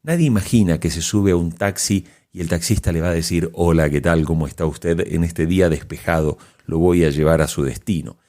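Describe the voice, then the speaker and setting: A man's voice: sits low, brisk, hardly any pauses, dark tone. A man hosting a podcast, delivering prepared commentary to listeners. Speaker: podcast host.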